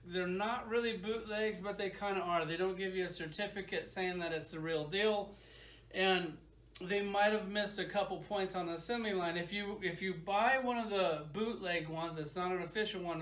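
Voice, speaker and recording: A man's voice: high voice, fairly bright tone. A man giving a casual, opinionated product review in an informal, rambling way. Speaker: toy reviewer.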